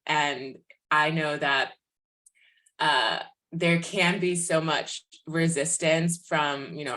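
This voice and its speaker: Low-pitched, slow-paced woman podcast host. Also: a bit bright. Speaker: podcast host interviewing a guest. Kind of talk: reflective interview question.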